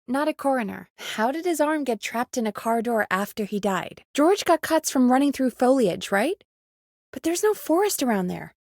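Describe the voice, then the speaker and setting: A woman's voice: high-pitched, no echo, studio quality. A woman playing a frank, anxious but caring radio producer in a video game, talking in an informal, emphatic, exasperated way. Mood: puzzled, urgent. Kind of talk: conversational video game voice acting.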